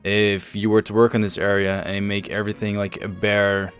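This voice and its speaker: A man hosting a developer livestream, explaining things casually in a measured, halting way. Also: fairly low pitch, monotone, fairly bright tone. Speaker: host and project lead on a developer livestream. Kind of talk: casual show-and-tell commentary over game screenshots. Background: faint music.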